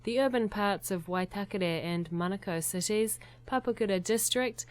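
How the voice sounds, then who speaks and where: A woman host reading a short scripted news item in a clear, measured way, then asking interview questions. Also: mid-range voice.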